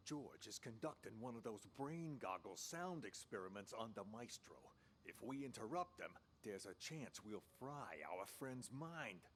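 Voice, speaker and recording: Pompous, theatrical conspirator, swooping voice. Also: male voice, on the low side, sing-song, a little slow, a little hiss or hum. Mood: commanding, scheming. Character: delusional theatrical male mental patient who believes he is a director. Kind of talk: interactive radio drama acting.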